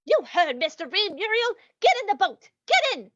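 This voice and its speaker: Shrill, sweetly cruel villainess. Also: female voice, very animated, normal speed, fairly bright tone, no room sound, dull audio, full character voice. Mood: bossy impatience. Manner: mock-sympathetic, cooing endearments. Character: gloating, sweetly cruel elderly lady murderess. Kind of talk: old-time radio mystery acting.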